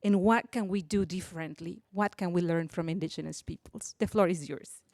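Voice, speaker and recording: A woman's voice: on the low side, fairly quick, a little mellow, dry recording. A woman moderating a session, introducing a speaker and putting questions in a formal panel style.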